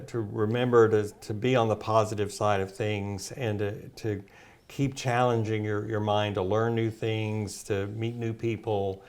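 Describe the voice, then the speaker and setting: A man's voice: lowish voice, darkish tone. A man speaking as a naturopath guest, explaining at length with many fillers and restarts. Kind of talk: casual health talk.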